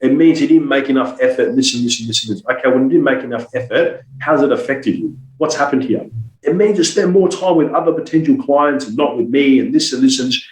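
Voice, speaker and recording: A man's voice: medium pitch, fast, echoey room, rough recording. A man with a cold, speaking as a sales coach guest on a podcast interview. He talks emphatically and persuasively, leaning on rhetorical questions. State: has a cold.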